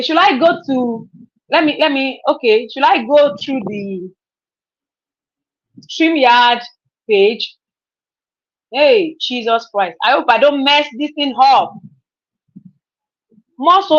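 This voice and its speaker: Brief: woman, 30s, chatty live stream host, higher voice, dull lo-fi audio. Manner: chatty, repeats herself, laughs often. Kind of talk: casual live stream chat.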